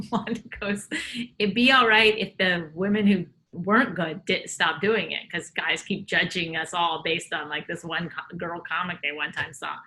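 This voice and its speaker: A woman's voice: lowish voice, steady tone, moderate pace, sharp tone, poor audio. A woman comedian in a casual interview, telling loose, rambling stories full of fillers. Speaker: comedian guest.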